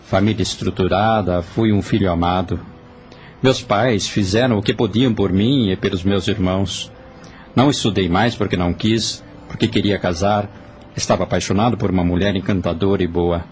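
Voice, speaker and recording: A man's voice: low-pitched, normal speed, a little mellow, a little hiss or hum, natural voice. An actor voicing a weary, remorseful male spirit who confesses his wrongs earnestly, with a Brazilian accent. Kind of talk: Portuguese-language audio drama acting.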